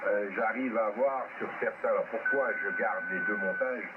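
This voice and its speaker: A man's voice: fairly low pitch, normal speed. A man talking off the cuff as an amateur radio operator over a radio transmission, hesitant and repeating words for emphasis. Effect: ham radio transmission. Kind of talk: unscripted technical talk over amateur radio. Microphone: radio transceiver microphone. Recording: very noisy, narrow-band radio link.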